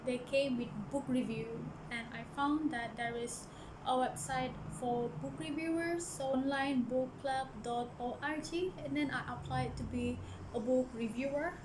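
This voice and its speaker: A young Malaysian woman shares a personal story in a casual vlog, her voice sitting a little high. She speaks earnestly and hesitantly, often stopping to restart a sentence. Clear background noise runs under her voice. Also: measured pace. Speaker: book vlogger. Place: church office.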